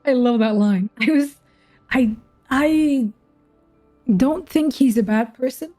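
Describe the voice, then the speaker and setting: A woman's voice: sits high, slow pace, mellow, faint music behind. A woman giving casual reaction commentary as she plays a game, informal and musing aloud. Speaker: gaming content creator.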